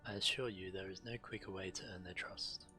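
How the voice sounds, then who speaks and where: A male game streamer with a deep voice reads fantasy quest text aloud in character. His delivery is measured, like storytelling, with clear music playing behind him. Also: steady tone.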